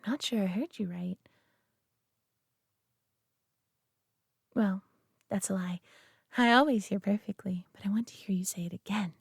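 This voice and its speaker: A woman's voice: mid-range voice, fairly quick, no echo, studio quality. A woman voicing a prideful, doting alien who owns a human pet, teasing and condescending yet affectionate in an intimate audio roleplay. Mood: delighted, coaxing. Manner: teasing, condescending, affectionate, often hushed and breathy.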